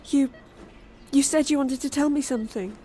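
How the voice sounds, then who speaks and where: Actress as soft, high-voiced daughter. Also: female voice, moderate pace, slight background noise, close to an everyday voice. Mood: gentle, concerned. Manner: gentle, concerned. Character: worried, gentle grown daughter of dying mother. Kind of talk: audio drama dialogue.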